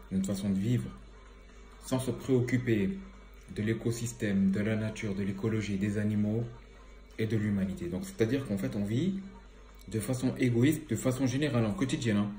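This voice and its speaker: A man speaks in a low, mellow voice, a little stuffy from a cold. He rambles casually and hesitates often as he thinks aloud. The sound bounces around an echoey room, with faint music underneath. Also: fairly even intonation, normal speed. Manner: rambling, hesitant, many fillers. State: has a cold, slightly stuffy. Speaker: environmental vlogger. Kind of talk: casual spoken commentary.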